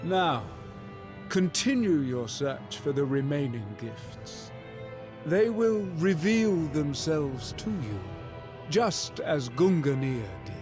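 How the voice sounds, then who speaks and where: A man's voice: a little high, very animated, slow pace, music in the background. A man playing a solemn, commanding god-king in a fantasy video game, speaking in a stately, formal manner.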